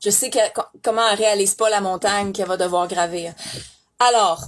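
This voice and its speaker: A woman's voice: mid-range voice, steady tone, fairly quick. A young woman with a Québécois French accent, giving an emphatic, indignant testimony with frequent restarts. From Canada. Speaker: former sex industry worker giving testimony. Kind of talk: impassioned testimony.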